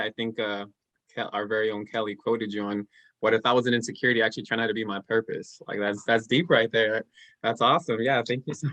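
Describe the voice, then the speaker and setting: A man moderating a panel, thanking the speakers warmly and asking them questions. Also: mid-range voice. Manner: friendly, encouraging, reads out quotes.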